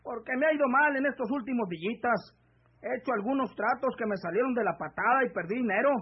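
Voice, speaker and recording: An actor playing a scheming, greedy husband from the countryside, with a rural northern Mexican accent and an insistent, rustic way of talking, in an old radio serial. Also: male voice, very high-pitched, fast talker, a little hiss or hum. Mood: pushy, aggrieved. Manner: rustic, insistent, pressing speech. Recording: dull vintage AM radio drama recording.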